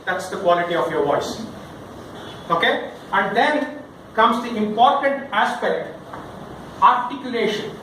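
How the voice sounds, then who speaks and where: High-pitched man teaching in an echoey room. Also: lively intonation, measured pace, pauses often, fairly bright tone. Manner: theatrical, emphatic, questioning delivery with demonstrations. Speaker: workshop speaker, voice trainer. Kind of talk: interactive public-speaking lesson to a group. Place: indoor session room with audience. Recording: echoey room, distant mic, background noise.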